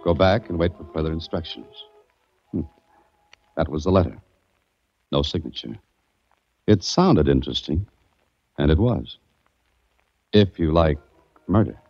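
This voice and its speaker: A deep calm radio detective. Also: male voice, a little slow, long pauses, slightly rough, soft background music, heavily acted. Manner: calm, dry, self-assured. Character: cool private detective Dan Holliday. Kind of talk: 1940s radio acting. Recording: dull lo-fi 1940s radio recording.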